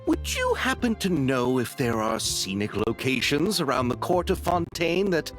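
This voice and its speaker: A man's voice: fairly high pitch, wildly swooping pitch, normal speed. An actor playing a hesitant, polite young male scriptwriter. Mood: tentative. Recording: game audio with faint music.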